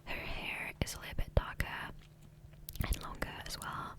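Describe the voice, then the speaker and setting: A woman whispering softly and breathily into a close microphone in a rambling, hesitant ASMR gameplay commentary, often trailing off. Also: normal speed. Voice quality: soft, breathy unvoiced whisper. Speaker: ASMR gaming creator. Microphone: close USB condenser microphone.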